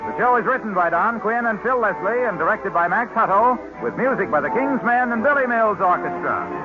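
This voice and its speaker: A man's voice: high-pitched, lively intonation, brisk, music in the background. A man announcing a 1950s radio show and reading its sponsor ad in an upbeat, hard-selling way. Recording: muffled 1950s radio broadcast.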